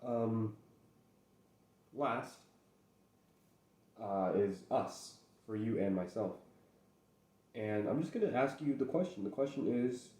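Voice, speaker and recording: A man's voice: lowish voice, warm tone. Rambling young man in an echoey room. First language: English. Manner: rambling, halting, with frequent restarts. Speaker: host. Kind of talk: casual solo chat.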